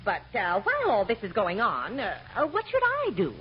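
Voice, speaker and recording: Fluttery wife, wildly swooping voice. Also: female voice, medium pitch, wildly swooping pitch. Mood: earnest, puzzled. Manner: gushing, theatrical, drawn-out exclamations. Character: gullible, fluttery middle-aged society wife. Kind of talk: 1940s radio comedy acting. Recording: dull, hissy old radio recording.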